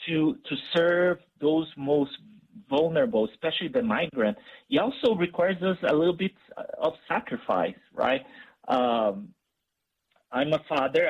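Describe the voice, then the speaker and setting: A man speaking as a guest expert on a radio talk show. He talks in an earnest, halting way, with many restarts. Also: a little high, gravelly, husky, highs cut off. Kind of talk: radio interview.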